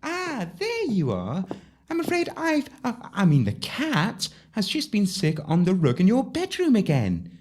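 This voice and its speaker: A woman's voice: medium pitch, wildly swooping pitch, measured pace, a little mellow. Woman as a haughty stepsister, snooty, bossy, dramatic swoops, theatrical audio panto.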